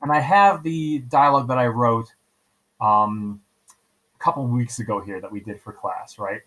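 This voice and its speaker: A man's voice: medium pitch, normal speed, fairly bright tone, rough recording. Man giving a casual step-by-step tutorial. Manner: informal, repeats himself, checks in with "right?". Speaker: teacher giving a software tutorial. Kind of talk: screen-recording tutorial, casual step-by-step instruction.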